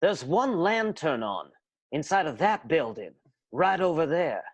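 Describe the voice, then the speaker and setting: Fairly high male voice, polite, fussy, uneasy mustachioed strongman acted by a man, radio soap. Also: sing-song, a little slow, muffled recording, exaggerated voice. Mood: resolute, apologetic. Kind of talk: improvised radio soap opera acting.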